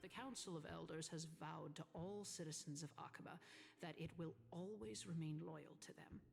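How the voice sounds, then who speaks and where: A calculating female politician from a fantasy video game. Her lowish voice has a creaky, gravelly edge, and she speaks with measured, pointed formality. Game music plays underneath. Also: darkish tone. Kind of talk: scripted video game voice acting.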